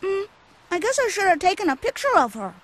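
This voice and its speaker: Young game boy, very high voice, lively pitch, naive, cheerful, inquisitive. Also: a little fast. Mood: earnest. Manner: earnest, inquisitive. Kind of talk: video game cutscene voice acting.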